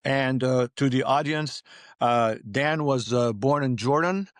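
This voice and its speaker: Halting male podcast host, dry close sound. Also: medium pitch, no room sound. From Lebanon. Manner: halting, repeats words. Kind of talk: conversational interview introduction.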